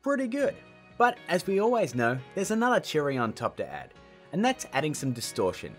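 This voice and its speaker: A man's voice: fairly high pitch, wildly swooping pitch, brisk, a bit bright. A man hosting a tutorial with scripted narration and chatty, informal asides. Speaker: tutorial host. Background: background music.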